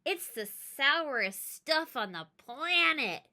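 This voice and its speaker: Woman as bratty young boy, smug, sneering, taunting, lively swings in pitch, storybook character. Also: slow, exaggerated voice. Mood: stubborn, smug. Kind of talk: read-aloud storybook character voice.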